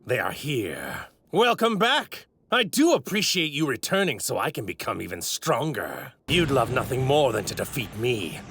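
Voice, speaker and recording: A man's voice: mid-range voice, big pitch swings, moderate pace, fairly bright tone, no room sound, full character voice. A man voicing an arrogant, godlike villain in an anime dub, pompous and taunting, savoring his grand words. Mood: smug, menacing. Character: arrogant divine villain in a stolen warrior body. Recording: ripped voice track with clear background noise.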